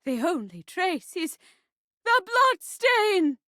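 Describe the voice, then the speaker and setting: A woman's voice: very high-pitched, sing-song, slow pace, pushed and bright, dry recording, clean studio sound, pitched above the performer's own voice, heavily acted. Woman as a fretful, pleading old lady. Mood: worried, pleading. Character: helpless fretful old lady in a murder mystery.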